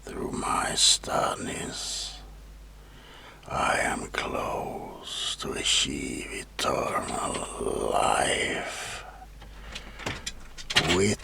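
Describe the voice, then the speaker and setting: Whispering, gravelly, sinister mad doctor. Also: male voice, a bass voice, big pitch swings, full character voice. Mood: fervent, secretive. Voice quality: breathy whisper with gravelly creak. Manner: slow, deliberate, menacing, theatrical pauses. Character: sinister mad asylum doctor, over eighty years studying, obsessed with immortality. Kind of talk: whispered ASMR horror roleplay.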